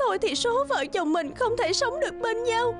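A woman's voice: extremely high pitch, lively intonation, normal speed. A woman voicing a sorrowful, loving young village wife in a fairy-tale cartoon, plaintive and tearful. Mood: weak, sorrowful. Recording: music under the voice.

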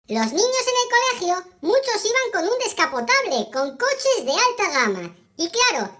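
A performer voicing a friendly talking cartoon kid cat for young viewers, with a squeaky pitch-raised filter and childlike storytelling manner. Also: sharp tone, exaggerated voice. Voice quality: squeaky, pitch-shifted high. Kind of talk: scripted narration for children's game video.

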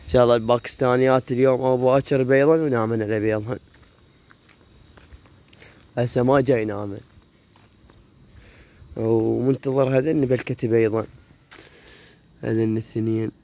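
A hoarse man with an Iraqi Arabic accent, chatting casually to viewers while filming his rooftop pigeon loft on a phone. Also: mid-range voice, level tone, a little fast, pauses often, mellow. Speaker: pigeon keeper vlogger. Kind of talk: casual vlog. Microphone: phone camera held while walking.

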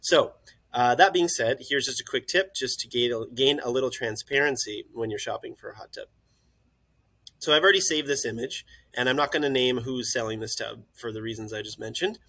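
A man's voice: medium pitch, brisk. A young man who runs a hot tub shop, talking casually at his computer through a screen-share tutorial with lots of "uh" fillers. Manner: relaxed and friendly, many "uh" fillers. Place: indoors, recording at a computer.

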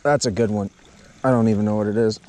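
A man's voice: fairly low pitch, steady tone, fast talker, warm tone. A young Canadian man chatting casually as the host of a fishing vlog. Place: outdoors in a canoe on a lake. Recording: noisy outdoor action camera recording, clicks.

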